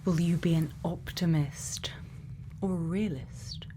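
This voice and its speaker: Noticeable ambient noise sits behind a woman's hushed ASMR voice. She slips between breathy whispers and a soft, lowish murmur, taking her time with long pauses. She plays a gentle scientist putting questions to someone. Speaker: ASMR performer.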